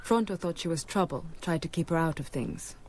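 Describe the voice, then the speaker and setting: A woman's voice: deep voice, a little fast, darkish tone, a little hiss or hum, close to an everyday voice. A woman playing a dry, teasing snake dancer. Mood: matter-of-fact. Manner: dry, teasing, matter-of-fact. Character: worldly female snake dancer, dry and flirtatious. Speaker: circus performer. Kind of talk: radio drama acting.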